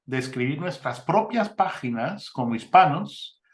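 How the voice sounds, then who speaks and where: A man's voice: fairly high pitch, expressive, unhurried, reverberant. A man hosting an interview, affirming his guest and speaking with many fillers and restarts. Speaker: interviewer, mission ministry host. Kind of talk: conversational interview. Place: Spain.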